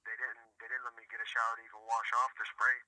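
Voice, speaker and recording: A man's voice: mid-range voice, level tone, fast talker, no echo. An American man speaking over a telephone, giving an earnest conversational account peppered with "you know". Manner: repeatedly says "you know". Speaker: prison inmate being interviewed by phone. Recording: sharp prison phone line.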